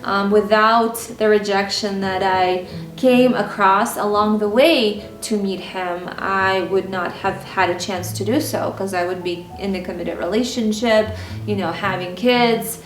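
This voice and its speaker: A woman's voice: mid-range voice, fairly even intonation, a little slow, distant mic. Russian-speaking woman from ukraine vlogging, chatty and candid, echoey room with music under it. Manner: candid, conversational, with many fillers. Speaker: style and mindset vlogger. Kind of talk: personal storytelling to camera. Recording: roomy echo with music bed.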